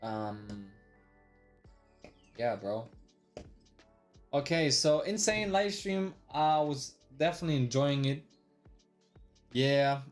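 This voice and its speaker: A man's voice: mid-range voice, a little mellow. A man in his early twenties talking casually on a live stream, slangy and rambling, with plenty of swearing and "bro". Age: 20s. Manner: slangy, swears often, says "bro" a lot; rambling with restarts, replays his past thoughts aloud. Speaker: day trader live-streaming.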